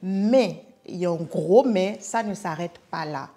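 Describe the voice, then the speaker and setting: A woman from Africa, a guest in a podcast chat, talking in an emphatic, punchy, animated way. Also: on the low side, measured pace. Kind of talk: casual chat.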